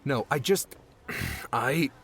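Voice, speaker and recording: A man playing a touchy, guarded young guardian in an audiobook, with an exasperated, halting delivery. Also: mid-range voice, sing-song, creaky, fairly bright tone, a little hiss or hum, heavily acted. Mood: flustered. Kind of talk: audiobook character dialogue.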